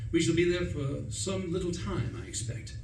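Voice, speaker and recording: Man playing a high-pitched, breezy English gentleman. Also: sing-song, normal speed, vocal fry. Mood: cheerful, blustering. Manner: pompous, chatty old-fashioned slang. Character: flustered, breezy upper-class young English gentleman, narrating. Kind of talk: theatrical radio play narration and dialogue. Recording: roomy, distant stage recording with faint noise.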